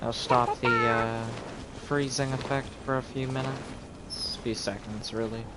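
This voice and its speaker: A man doing casual live game commentary, thinking aloud in a low-key way. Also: steady tone, unhurried, very noisy. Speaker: game streamer. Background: video game music and effects.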